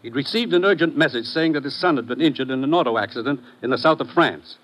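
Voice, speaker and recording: An actor playing a brisk American government commissioner, giving measured, businesslike briefings in a 1950s radio drama. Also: male voice, medium pitch, expressive, fairly bright tone, rough recording. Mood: grave, businesslike.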